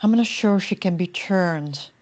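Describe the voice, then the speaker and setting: A woman's voice: lowish voice, measured pace, a little mellow, close to an everyday voice. A woman playing a guarded, dismissive female cult member in a screenplay reading, matter-of-fact in manner. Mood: worried.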